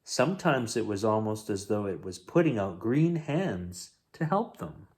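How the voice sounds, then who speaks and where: A man reading a children's picture book aloud as a bedtime story host, in an expressive, dramatic way. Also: on the low side, measured pace.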